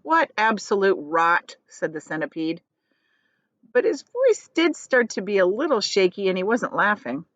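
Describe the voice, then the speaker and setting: A woman's voice: medium pitch, sing-song, moderate pace, dry recording, highs cut off. A woman reading a children's book aloud as a teacher would, expressively, adding explanations for her listeners.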